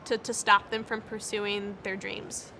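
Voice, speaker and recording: A woman's voice: mid-range voice, little pitch movement, unhurried, vocal fry, over loud music. A young American woman who stutters, with repeated words and cut-off sounds, giving earnest, encouraging interview answers. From United States. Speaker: interviewee, speech-language pathologist.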